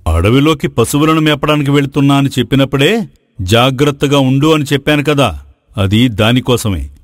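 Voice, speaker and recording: A kindly, wise king played by a man talks in a medium-pitched male voice. He explains with fatherly authority in moral-story style. The sound is dry and close. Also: lively intonation, normal speed, dry recording, natural voice. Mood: knowing, inquiring. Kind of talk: Telugu moral story voice acting.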